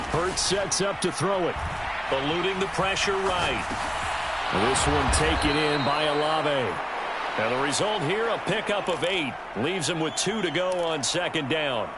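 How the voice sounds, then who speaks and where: Play-by-play announcer for a football video game: American, slightly high pitch, concise calls, very noisy backdrop. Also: male voice. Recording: loud game sound behind the voice.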